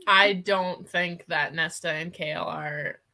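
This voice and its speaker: Lady on a book livestream, bright lower voice, says like a lot, opinionated n chatty. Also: steady tone, slow. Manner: frequent "like", giggly, opinionated. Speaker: book discussion co-host. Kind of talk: casual excited book chat. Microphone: livestream video call.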